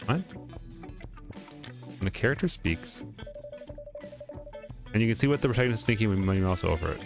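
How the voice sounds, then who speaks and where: A man's voice: fairly low pitch, animated, moderate pace, vocal fry. A man playing a game, reading its text aloud and breaking off for informal asides as he comments. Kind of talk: let's play commentary with dialogue read aloud. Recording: music behind the voice.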